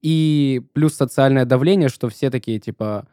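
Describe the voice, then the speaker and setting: Young male co-host, early 20s, mellow tone, reflective and halting delivery. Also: medium pitch, no echo, clean studio sound. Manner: reflective, hesitant, thinks aloud. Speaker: podcast co-host. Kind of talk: casual podcast chat.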